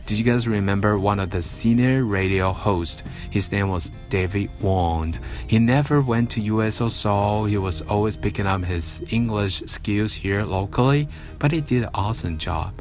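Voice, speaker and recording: A man's voice: on the low side, normal speed, faint music behind. A man hosting a casual evening radio show alone, chatty and self-mocking, rambling between songs. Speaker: radio host. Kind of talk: casual solo radio talk. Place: radio studio. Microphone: studio mic.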